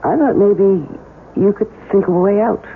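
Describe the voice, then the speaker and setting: An American actress playing a scheming, seductive wife in a radio drama, coaxing and insistent. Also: female voice, medium pitch, normal speed, lightly acted. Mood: coaxing, probing. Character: scheming, seductive wife coaxing her lover toward murder. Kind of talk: 1970s radio drama acting. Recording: tinny, faintly hissy old radio broadcast.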